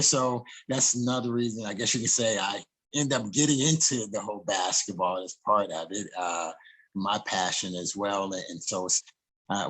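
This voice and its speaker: A man's voice: lowish voice, fairly bright tone, rough recording. A man hosting a casual interview, talking in an easygoing way with frequent "you know" fillers. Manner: easygoing, uses "you know" and "I guess you could say". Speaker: podcast host.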